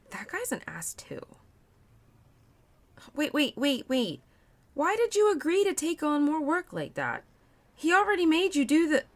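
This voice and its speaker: A woman acts out a female spirit of autumn, a devoted and playful childhood friend in a girlfriend audio roleplay. Her medium-pitched voice rises and falls with great animation. She talks in a chatty, expressive, affectionate way, protective of the one she is speaking to. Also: heavily acted. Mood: indignant, protective. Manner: expressive, chatty, teasing, with laughs and sighs.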